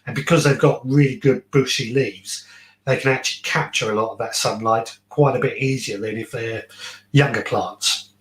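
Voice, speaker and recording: A man hosting a phone-in show with casual gardening chat, agreeable and a little hesitant, often repeating words. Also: mid-range voice. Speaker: podcast host taking phone-ins. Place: garden shed. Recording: echoey, roomy sound.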